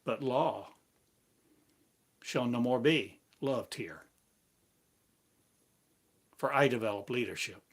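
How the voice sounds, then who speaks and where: A man giving a free-form spiritual sermon, chanting in drawn-out, incantatory phrases for a live stream. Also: mid-range voice, husky. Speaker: preacher. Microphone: live stream device.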